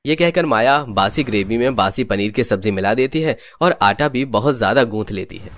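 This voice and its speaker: A man's voice: mid-range voice, lively intonation, moderate pace. A man reading scripted storybook narration for a cartoon. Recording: music under the voice.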